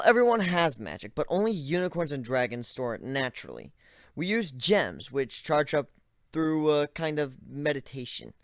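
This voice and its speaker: Expressive man reading a story. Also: mid-range voice, no echo, clean studio sound. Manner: expressive first-person narration. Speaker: story reader.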